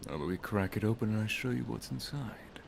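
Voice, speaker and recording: Man playing a low-voiced, doting wizard master. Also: lively intonation, fast, gravelly, noisy background. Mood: tender, reassuring. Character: doting male wizard master, teasing and affectionate boyfriend. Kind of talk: ASMR roleplay, scripted.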